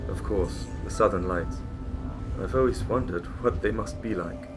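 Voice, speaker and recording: A man's voice: low-pitched, normal speed, close to an everyday voice. A man in a dramatic reading playing a troubled, dutiful polar expedition leader, earnest and theatrical. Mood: wondering. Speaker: stage actor. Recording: music clearly behind the voice. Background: music.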